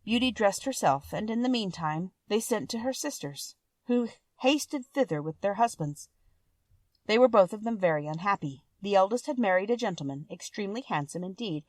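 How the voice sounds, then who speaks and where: A woman's voice: medium pitch, a little mellow, no echo. A woman reading a classic fairy tale aloud as scripted storybook narration.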